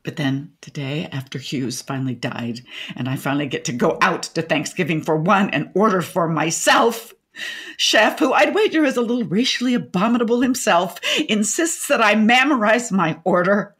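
An actress playing an opinionated, garrulous older widow in a comedic radio play, with a wordy, theatrical, dryly sardonic delivery. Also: female voice, on the low side, expressive, normal speed, a bit bright, husky. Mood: indignant, deadpan. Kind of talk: comedic radio drama acting.

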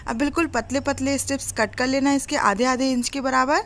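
A woman's voice: sits high, steady tone, a little fast, fairly bright tone. A woman narrating a step-by-step recipe tutorial in an instructive, explanatory manner. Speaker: home cooking host. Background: music.

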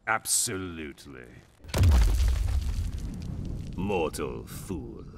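A smug gravelly old sorcerer. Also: male voice, rumbling low, very animated, drawn-out words, far from the mic, very noisy, heavily acted. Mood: menacing. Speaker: fighting game character. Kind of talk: video game intro banter.

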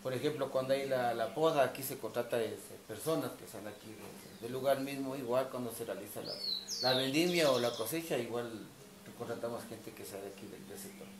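Halting Ecuadorian man, echoey and distant. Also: mid-range voice. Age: middle-aged. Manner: halting, repetitive, courteous. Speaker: winery staff being interviewed. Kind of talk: informal on-site interview answers. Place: covered brick patio at a winery. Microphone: camera a few metres away. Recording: echoey, distant camera mic, background noise.

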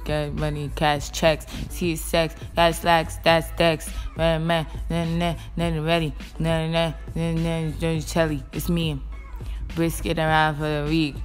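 A woman's voice: deep voice, completely flat delivery, measured pace, faint music behind. A woman rapping a boastful freestyle in a rhythmic flow. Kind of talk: freestyle rap.

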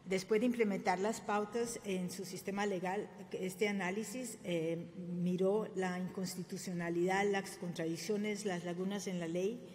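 Woman giving a halting podium talk. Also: mid-range voice, fairly even intonation, a little mellow, slight background noise. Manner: halting, many fillers and self-corrections. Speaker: conference speaker. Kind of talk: formal presentation at a podium. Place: government press room. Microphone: podium microphone. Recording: reverberant room, faint ambience.

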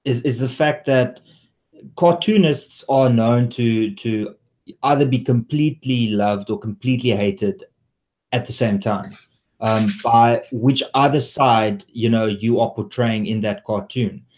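Halting South African male host. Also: medium pitch, a little mellow. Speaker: host, interviewer. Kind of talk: conversational commentary. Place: indoor room, video call. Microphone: earphone headset on video call.

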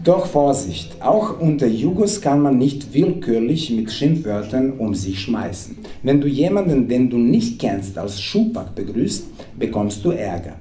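A man's voice: mid-range voice, very animated, a little slow, hardly any pauses, warm tone. A man in his thirties, a native Serbian speaker, reading his own comic text aloud to a live audience at a stand mic on a small club stage, with a wry, playful delivery. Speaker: author reading from his book. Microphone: stand mic at a table. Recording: roomy club with audience noise. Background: audience laughter.

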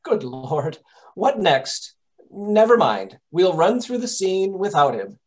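A man playing a brisk, impatient detective who directs a rehearsal in an old radio drama style. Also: high voice, sing-song, heavily acted. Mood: exasperated. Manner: commanding, exasperated. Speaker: actor. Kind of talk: 1930s radio acting.

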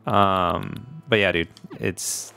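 Gravelly low-voiced gaming host. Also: male voice, steady tone, extremely slow, bright, forceful tone, soft background music. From Puerto Rico. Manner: laid-back, chatty, laughs often, talks to viewers. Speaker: let's play host. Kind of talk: casual gaming commentary. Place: home gaming room, indoors. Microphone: desk microphone with webcam.

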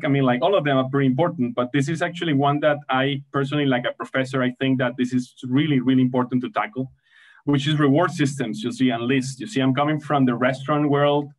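A man's voice: medium pitch, steady tone, brisk, low-quality recording. A man introducing panel moderators on an online conference call, rambling with many fillers and repeated phrases. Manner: rambling, many fillers like "I mean, like" and "you see". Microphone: video call webcam.

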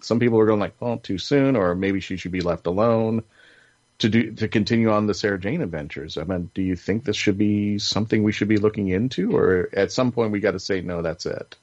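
A man with a low voice hosts a casual podcast panel. He speaks haltingly, often circling back to reword a question. The sound is dry and slightly dull. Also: a little mellow, no echo. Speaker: podcast host.

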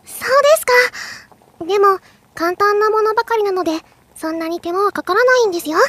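Gentle, high-voiced teenage girl. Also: a little fast, dry recording. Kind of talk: anime audio drama acting.

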